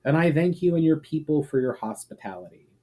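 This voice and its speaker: A man's voice: fairly high pitch, expressive, a little fast, dark tone. A man voicing a noble, earnest elf warrior in a fantasy storybook, with a formal and respectful manner. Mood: respectful wonder.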